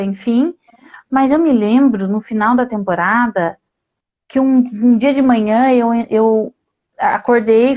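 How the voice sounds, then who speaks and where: A woman from Brazil hosts a live-streamed conversation, her voice sitting a little high. She tells a personal story in a lively, chatty way. The video-call audio sounds somewhat dull and muffled. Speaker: host.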